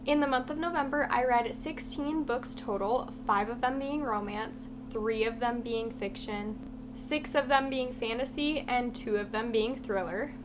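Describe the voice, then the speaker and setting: A woman's voice: on the high side, a little slow. A woman with a congested, half-lost voice from a cold, giving a chatty, blunt book review vlog with lots of "like" fillers. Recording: steady humming background noise.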